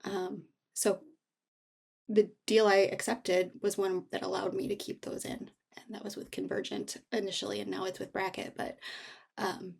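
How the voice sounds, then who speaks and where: A woman with a markedly creaky voice at middle pitch speaks as an interview guest. She is candid and reflective, feeling her way through personal stories with halting, thoughtful phrasing. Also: flat delivery, darkish tone. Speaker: memoir author, interview guest. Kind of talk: casual, reflective personal storytelling.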